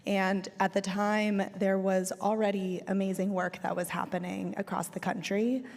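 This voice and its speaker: A hoarse woman speaking as a panelist, measured and reflective, with frequent ums. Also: medium pitch, flat delivery, a little mellow. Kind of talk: reflective conference panel talk. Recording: reverberant hall.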